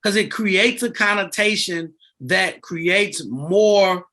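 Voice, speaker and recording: A man in his fifties, a guest on a podcast, explaining finance in an animated, emphatic way and repeating his key words. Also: sits high, slow. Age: 50s. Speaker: guest, insurance and debt author.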